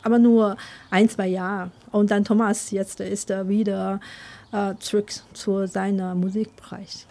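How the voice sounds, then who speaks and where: A woman's voice: mid-range voice, level tone, measured pace, mellow. A young woman from China with a Chinese accent, answering interview questions, hesitant with many fillers and frequent laughs.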